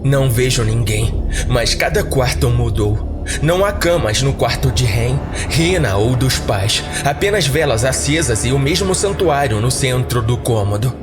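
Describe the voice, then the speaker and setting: Male Brazilian narrator for a horror podcast, mid-pitched, measured dramatic storytelling with music underneath. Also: animated. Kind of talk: scripted first-person horror story narration.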